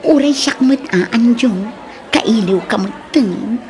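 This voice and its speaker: A woman's voice: fairly high pitch, takes it slowly, a little mellow, faint music behind. A woman with an Ilocano Filipino accent playing an everyday, defensive young woman in a radio drama, conversational and exclamatory. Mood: teasing.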